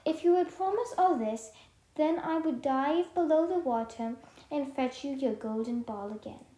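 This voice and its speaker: A high-pitched talking frog from a storybook reading, coaxing and bargaining, as a woman acts him out. The voice has an odd, altered timbre, and the recording carries a roomy echo. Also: normal speed, dark tone, natural voice. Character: talking male frog in a well, bargaining and pleading.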